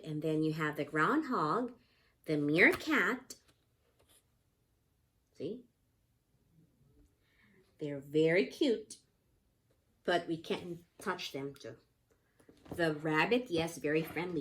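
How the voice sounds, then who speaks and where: A woman teaches young children from a picture book. Her voice sits a little low and she takes her time, with a very slow pace. She keeps explanations simple and often puts questions to the children. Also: lively intonation. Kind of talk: interactive picture-book read-aloud lesson for young children.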